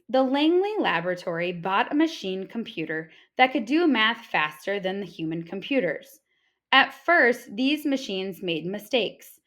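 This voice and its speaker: Expressive woman reading aloud to children. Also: mid-range voice. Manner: clear, deliberate storytelling with emphatic repetition. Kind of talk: informational picture-book read-aloud.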